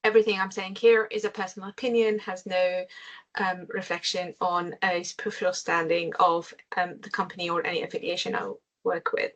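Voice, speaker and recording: A woman from Syria, a guest speaker giving a measured briefing over a video call, with frequent pauses. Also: lowish voice, level tone, dull audio. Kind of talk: webinar briefing.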